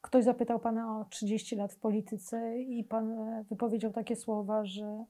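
A woman's voice: on the high side, flat delivery, normal speed, mellow. A middle-aged woman interviewing in a conversational style, phrasing her questions carefully and tentatively. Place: small furnished living room, indoors.

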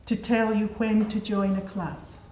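A woman's voice: medium pitch, level tone, unhurried, darkish tone, echoey room, slight background noise. A middle-aged woman interviewing an adult language learner, asking short simple questions with patient, encouraging repetition. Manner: patient, encouraging, repeats and reassures. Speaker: adult education assessor. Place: small studio set, indoors.

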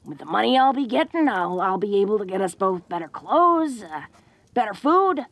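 A woman playing a tough but awkward, affectionate young orc woman in an intimate roleplay audio drama, talking in a folksy, halting way. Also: mid-range voice, sing-song, no echo. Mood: tender, caring. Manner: folksy, halting tough-girl talk with small chuckles.

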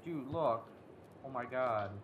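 A man's voice: mid-range voice, slow pace. Muffled man chatting casually over a game. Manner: profane, slangy, blurts reactions to finds. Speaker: video game player. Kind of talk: casual co-op gameplay chat. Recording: muffled lo-fi mic, background noise.

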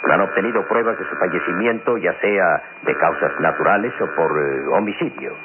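Guy playing stern worried army general in old radio drama, really low voice, muffled hummy recording. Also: heavy background noise, close to an everyday voice. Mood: grave, worried. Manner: formal, deliberate, authoritative. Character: grave, worried, authoritative military general. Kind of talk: old radio serial acting.

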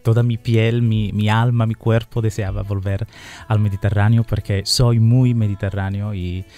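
A man's voice: lowish voice, level tone, normal speed, mellow, soft background music. A man, answering as a musician guest in a radio interview. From Sicily, Italy. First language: Italian or Sicilian. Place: radio studio. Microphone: close studio microphone with headphones.

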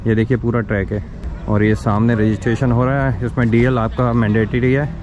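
A man's voice: medium pitch, level tone, brisk. A middle-aged man vlogging outdoors at a go-kart track, chatting directly to his viewers while holding a close action camera. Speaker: motorcycle vlogger. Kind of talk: casual vlog narration. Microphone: action camera held close or helmet-mounted. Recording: outdoor recording with traffic noise.

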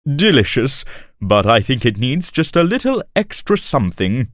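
Lively, easygoing traveler. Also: male voice, medium pitch, moderate pace, no echo, studio quality. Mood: contented. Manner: amiable, courteous. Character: friendly, easygoing male traveler, sly storytelling companion. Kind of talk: animated children's story acting.

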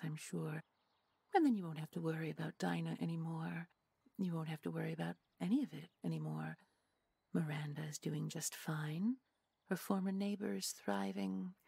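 Lady acting a smug supernatural villainess for an audio drama, lowish really breathy voice, sweetly threatening, super dry recording. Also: mellow, no echo, exaggerated voice. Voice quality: creaky, breathy. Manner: taunting, falsely sweet, unhurried. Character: menacing, mock-polite supernatural female villain in command.

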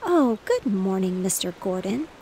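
A woman voicing an eager, cheerful little girl in a soft whispery storybook reading. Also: medium pitch, very animated, a little slow, slight background noise, low-quality recording.

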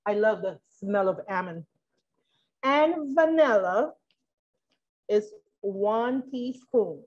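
A woman's voice: medium pitch, animated, slow, a little mellow. A middle-aged woman giving a live cooking demonstration in a kitchen, thinking aloud as she works. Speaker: cooking class instructor. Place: indoor kitchen with steel fridge and counters. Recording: dull, muffled lo-fi live video sound.

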